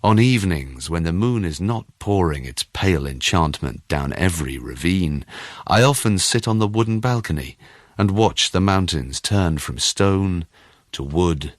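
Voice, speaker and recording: A man with a low-pitched voice reads literary first-person fiction. His storytelling is measured and expressive, and the sound is dry, with very little room on it. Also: no echo. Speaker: audiobook narrator.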